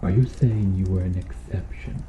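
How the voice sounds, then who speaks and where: A man playing a stern medieval knight in an ASMR roleplay, questioning formally and earnestly with dramatic pauses. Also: sits low, warm tone, roomy sound, a little hiss or hum, close to an everyday voice. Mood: solemn, insistent. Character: stern medieval knight interrogating an accused noble witch.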